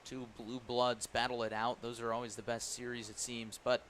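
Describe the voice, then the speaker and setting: A man works as a baseball radio announcer, calling the game live. His mid-pitched voice sits over a clear bed of stadium ambience and background noise. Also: level tone, normal speed, fairly bright tone.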